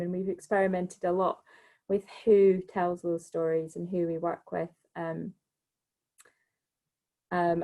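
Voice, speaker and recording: A woman with a fairly low voice speaks off the cuff as an arts director on a panel. She thinks aloud, looping back over her phrases as she explains. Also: creaky, darkish tone. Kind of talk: spontaneous panel talk.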